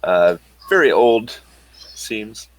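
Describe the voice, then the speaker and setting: A man guides players through a tabletop role-playing session, his voice sitting a little low. He talks slowly, feeling his way through scene descriptions with frequent pauses and fillers. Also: expressive, poor audio. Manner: halting, with many fillers. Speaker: game master. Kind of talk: narrating a tabletop game.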